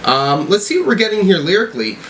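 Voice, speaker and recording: A man giving casual, off-the-cuff reaction commentary as a music reviewer, talking in a halting, rambling, slangy way with the odd swear word. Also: high voice, sing-song, a bit bright, a little hiss or hum.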